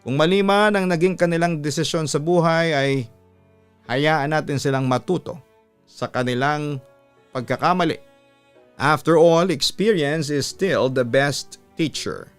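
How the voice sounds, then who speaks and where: A Filipino man working as a radio host, reading listener letters and giving advice in a measured, earnest delivery. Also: a little high, clean tone. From Philippines. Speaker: radio announcer and story channel host. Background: background music.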